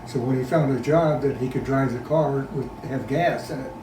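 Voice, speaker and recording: A man in his nineties, interviewed at a private home, telling childhood memories in a plain, unhurried way. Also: mid-range voice. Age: 90s. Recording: roomy sound, distant mic, background noise.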